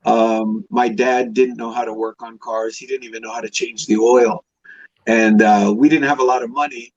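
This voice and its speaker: A man's voice: medium pitch, steady tone, normal speed. A man in his fifties from Hawaii, telling personal stories casually as a podcast guest, in a rambling, conversational way. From Hawaii, United States. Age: 50s. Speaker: podcast guest, classic car restorer. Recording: dull, dry lo-fi connection.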